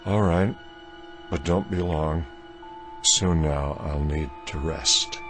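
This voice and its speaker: An actor playing a weary aging American man desperate for youth, speaking slowly and deliberately in a radio drama. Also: rumbling low, over music. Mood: weary resolve. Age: older. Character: weary aging brother, desperate to become young again.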